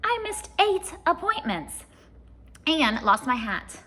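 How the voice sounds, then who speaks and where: A woman's voice: sits high, big pitch swings, slow, bright, forceful tone, voice pushed up, full character voice. A woman voicing a grumbling tooth fairy who complains in a confiding, matter-of-fact way, in a storybook reading.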